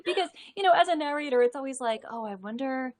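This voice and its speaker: Animated woman with eager restarts. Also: high-pitched, fast. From central Florida. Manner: animated, stumbling restarts, frequent laughs. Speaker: audiobook narrator. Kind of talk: casual live chat.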